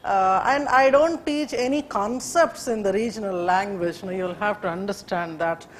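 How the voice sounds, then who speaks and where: A woman's voice: medium pitch, moderate pace, a little hiss or hum. A woman from India, whose first language is Urdu, gives a classroom lecture in Indian English, hesitant and full of fillers. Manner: hesitant, many fillers and restarts. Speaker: teacher.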